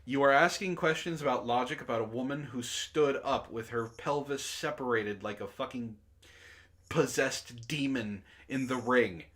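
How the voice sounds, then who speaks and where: A man's voice: mid-range voice, moderate pace, fairly bright tone. A man hosting a casual online chat, reading messages and memes aloud and reacting in a giggly, profane way.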